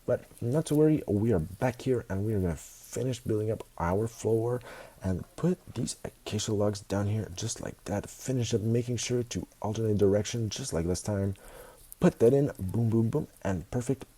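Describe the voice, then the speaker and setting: A young man with a stuffy nose from a cold, casually narrating his building game, excitable and fond of repeating words. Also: fairly low pitch, moderate pace, husky. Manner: excitable, repeats words, keeps saying guys. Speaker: gaming video host. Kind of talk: casual Minecraft commentary.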